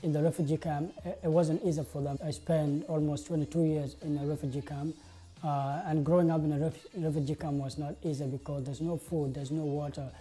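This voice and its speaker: Dark-voiced young man. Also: fairly high pitch, flat delivery, fairly quick, low-quality recording. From South Sudan. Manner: earnest, many "uh" and "like" fillers. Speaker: interviewee, game designer. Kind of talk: interview monologue to camera. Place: indoor studio with plain backdrop.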